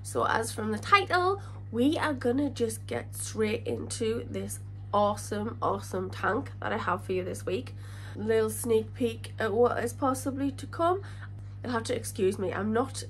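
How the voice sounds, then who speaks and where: A woman in her thirties talks straight to the camera from her living room, her voice a little high and audibly stuffed up with a cold. She rambles and corrects herself as she goes, in a casual, friendly chat. A faint hum sits quietly in the background. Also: unhurried, poor audio. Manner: chatty, rambling, self-correcting. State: congested, slightly crackly voice from a cold. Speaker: aquarium channel host. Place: living room in front of a fish tank.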